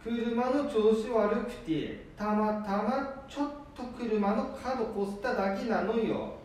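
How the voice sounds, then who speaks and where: Radio drama grandpa, male actor: elderly, proud, blustering, high-pitched, Nambu dialect; very reverberant room. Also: takes it slowly, a little mellow, a little hiss or hum. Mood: grumbling, excusing. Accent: Nambu dialect of northeastern Japan. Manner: defiant, blustering, insistent. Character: stubborn, proud elderly grandfather who refuses to stop driving. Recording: very echoey room, distant mic.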